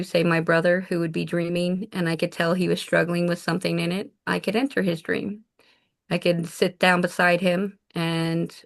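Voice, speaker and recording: Gravelly-voiced woman telling her story. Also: fairly low pitch. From Japan. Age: adult mother of four. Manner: earnest, reflective storytelling. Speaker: guest, shaman. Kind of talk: casual interview talk.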